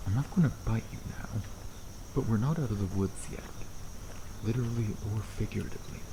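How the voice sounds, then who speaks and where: Man playing a low-voiced teasing vampire, crickets behind. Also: a little fast, lightly acted. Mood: flirtatious banter. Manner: sardonic, playful banter with pet-name teasing. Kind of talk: ASMR roleplay acting, addressing the listener. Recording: noisy outdoor ambience with crickets.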